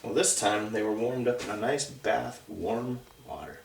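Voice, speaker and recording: A man's voice: mid-range voice, normal speed, vocal fry, a little hiss or hum. A man reading a picture book aloud to young children, conversationally, with chatty asides and questions to the listeners. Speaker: storybook reader. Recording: roomy echo, distant mic.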